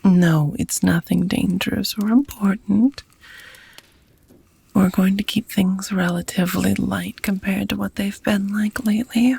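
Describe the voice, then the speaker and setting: A woman playing an affectionate, languid female vampire lover in an ASMR romantic roleplay, speaking softly in breathy whispers with slightly formal phrasing, close to the microphone. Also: mid-range voice, fairly even intonation, a little slow, exaggerated voice. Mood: gentle, curious. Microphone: close microphone, dry.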